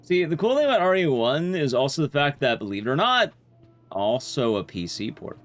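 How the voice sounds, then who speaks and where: Man streaming games, very lively pitch swings. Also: brisk, faint music behind. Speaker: video game livestreamer. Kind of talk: casual gameplay commentary, chatting with viewers.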